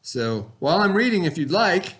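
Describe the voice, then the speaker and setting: A middle-aged man with a slightly high voice reads a picture book aloud to children. His telling is folksy and unhurried, and he jumps into higher voices whenever the characters speak. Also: sing-song, a bit bright. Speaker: teacher.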